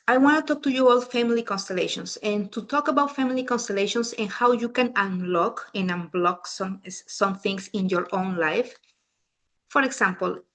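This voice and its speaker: A woman's voice: mid-range voice, fairly bright tone. A middle-aged woman from Peru with a Peruvian Spanish accent, a native Spanish speaker, leading a family constellations talk in a casual, chatty way and often restarting her sentences. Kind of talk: casual talk to a small group.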